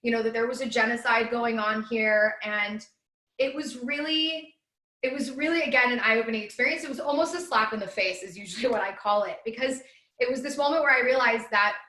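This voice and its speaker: Woman, 30s, educator giving a webinar; bright, chatty delivery recorded in a reverberant room. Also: a little high, a little fast. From Los Angeles, USA. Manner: chatty, upbeat storytelling with many fillers. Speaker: guest speaker, educator. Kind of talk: live webinar presentation. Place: at home.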